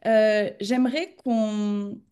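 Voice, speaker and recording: A woman's voice: mid-range voice, steady tone, very slow, warm tone, clean tone. A woman hosting a wellness podcast interview, chatty and enthusiastic in her questions. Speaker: podcast host.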